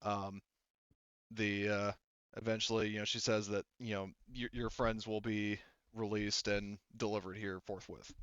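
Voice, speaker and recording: A man working as game master, narrating a tabletop game in a casual, halting, conversational way. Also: lowish voice, little pitch movement, fairly bright tone, smooth, dry recording, highs cut off.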